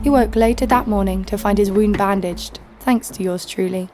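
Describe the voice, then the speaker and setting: A woman's voice: medium pitch, moderate pace, over music, close to an everyday voice. An actress playing a tough young woman fighter, narrating her own story in an audio drama with dry defiance. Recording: clear background noise and ambience.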